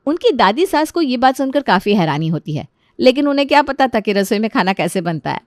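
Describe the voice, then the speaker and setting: A woman's voice: a little high, big pitch swings, a little fast, no echo, clean studio sound. A woman narrator reading a scripted story.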